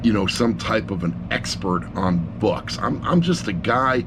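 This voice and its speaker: A man's voice: on the low side, lively intonation, measured pace, noisy background. A middle-aged man gives a casual, unscripted review in a rambling, blunt, self-deprecating way, picked up by an action camera sitting on a laptop. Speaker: amateur audiobook reviewer.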